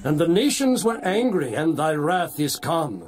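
An actor playing a reverent elder giving thanks in a dramatized scripture recording, slow and drawn out. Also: male voice, fairly high pitch, very animated, darkish tone. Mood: worshipful. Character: elder praising God, reverent and exalted. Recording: distant voice over music.

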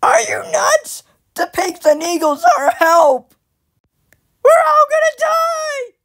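A woman voicing an excitable orange cartoon bird in a frantic, shrieking manner for amateur fan lines. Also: very high voice, slow, full character voice.

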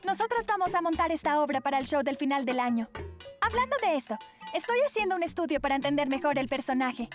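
Curious cartoon teen girl, girlish, really high, a bit flustered. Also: quick pace, faint music behind. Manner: expressive, girlish. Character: earnest teenage schoolgirl, curious and a little flustered. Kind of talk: cartoon voice acting.

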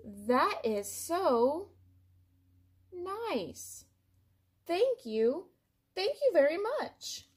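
A woman voicing an indignant, dramatic male pigeon from a picture book, complaining in an exasperated, drawn-out, emphatic way. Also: very high voice, sing-song, voice pushed up, full character voice. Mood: amazed, grateful.

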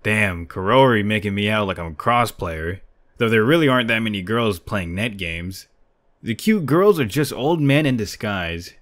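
A man's voice: fairly low pitch, expressive, moderate pace, a bit bright. Muttering, smug young gamer guy, acted by a man. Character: young male gamer shut-in, defensive, smugly vain. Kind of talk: manga dub.

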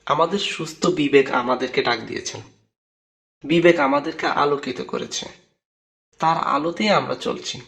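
A man's voice: a little high, moderate pace, gravelly, long pauses, fairly bright tone. A man voicing an earnest, friendly and resolute devout young man in a cartoon.

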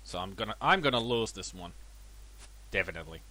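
A man with a cold and a slightly worn voice, casually commenting on his own boxing gameplay in a self-deprecating way. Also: fairly quick. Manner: chatty, self-deprecating, lively swings in pitch. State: has a cold, voice a bit worn. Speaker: let's play gamer.

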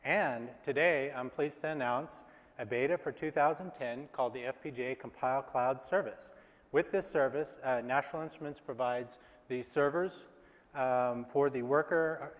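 A man presenting a product on a live stage, relaxed and speaking with frequent fillers. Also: mid-range voice, moderate pace. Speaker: IT engineer presenting. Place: large stage, hall. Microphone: lapel mic. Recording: reverberant hall.

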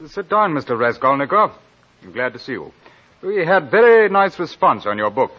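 An effusive, grasping publisher in a vintage radio play. The voice is fairly high and husky, leaping excitedly up and down through a lo-fi old recording. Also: male voice, normal speed, heavily acted. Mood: genial. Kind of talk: old-time radio drama acting.

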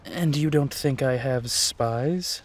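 Audio drama villain, played by a man: ruthless, coolly mocking, husky, slow and deliberate. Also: mid-range voice. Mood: cool menace. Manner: slow, deliberate, menacing delivery.

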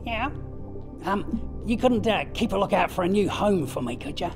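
A woman's voice: mid-range voice, lively intonation, moderate pace, music in the background, lightly acted. A woman voicing a fussy, rambling male hermit crab. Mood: fretful. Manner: rambling, hushed.

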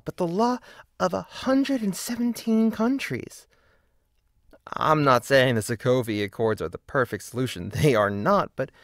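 A man's voice: on the high side, dry recording, studio quality. A man delivering scripted video-essay narration with casual asides, in an opinionated, emphatic and wry manner.

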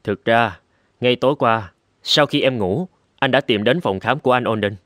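A man playing a calm, principled young husband in an animated drama, speaking in a measured, reassuring way. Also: medium pitch, close to an everyday voice. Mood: calm, explaining. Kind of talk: Vietnamese animated drama dubbing. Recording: loud music behind the voice.

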